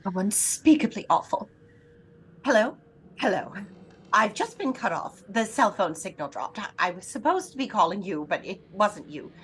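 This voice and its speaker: An actress playing an anxious, imperious invalid wife in a throwback radio thriller, agitated, demanding and melodramatic. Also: female voice, medium pitch, sing-song, moderate pace, a bit bright, a little hiss or hum, low-quality recording. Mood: alarmed, flustered. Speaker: lead actress in an audio drama.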